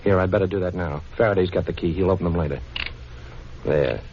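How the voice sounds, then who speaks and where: Over a muffled, noisy old radio recording comes a low-voiced gentleman adventurer. He is cocky and chivalrous, tossing off wisecracks with unflappable ease in 1940s crime drama style. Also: fast. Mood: brisk, confident. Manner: breezy, wisecracking, reassuring.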